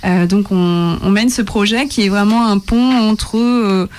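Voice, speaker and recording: A woman's voice: medium pitch, a little slow, darkish tone. A woman who grew up in France, a festival organizer interviewed on radio, speaking hesitantly with many fillers and trailing off.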